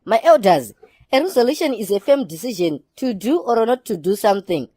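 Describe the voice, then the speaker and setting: A woman's voice: on the high side, big pitch swings, brisk, dark tone, husky, dry recording. A woman voicing a haughty, swaggering youngster in a comedy cartoon, mixing English into Shona. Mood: smug. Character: snobbish, swaggering youngster who disdains township life.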